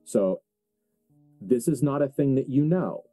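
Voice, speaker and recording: A man's voice: mid-range voice, animated, measured pace, warm tone, faint music behind. A man working as game master, narrating a horror tabletop game with casual asides.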